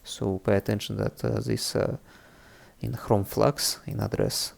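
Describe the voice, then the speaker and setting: A man presenting a casual, unscripted coding tutorial, thinking aloud haltingly in non-native English. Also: lowish voice, fairly even intonation, gravelly, a little mellow. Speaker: presenter of a programming tutorial series. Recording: faint background music under the voice. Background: faint music.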